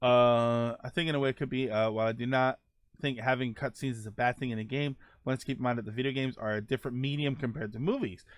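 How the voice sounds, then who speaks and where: A man's voice: mid-range voice, fairly even intonation, quick pace, dry recording, studio quality. A man hosting a gaming show, chatting casually with viewers and thinking aloud in a rambling, conversational way. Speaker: host of a gaming show, streamer. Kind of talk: casual chat answering live viewer comments.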